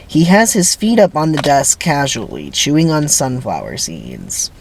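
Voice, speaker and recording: Theatrical male narrator, very animated pitch. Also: unhurried, creaky, husky. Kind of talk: dramatic reading of a screenplay.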